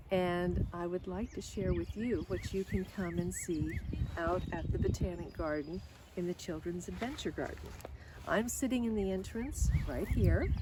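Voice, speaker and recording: Older woman guiding children, noisy outdoor recording. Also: lowish voice. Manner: explanatory, addresses children directly. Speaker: volunteer story reader and garden guide. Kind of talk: read-aloud for children, informal guided tour. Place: outdoors in a botanic garden. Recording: outdoor recording with clear background noise. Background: outdoor ambience, noise.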